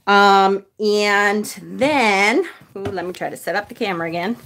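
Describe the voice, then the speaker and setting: A woman chatting loudly and cheerfully with viewers of her live stream from a small room at home, standing away from the camera. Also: mid-range voice, a little slow, slight background noise. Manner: loud, chatty, upbeat. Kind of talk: casual live-stream chat greeting viewers. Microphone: camera set a few feet away. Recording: voice a little distant from the mic.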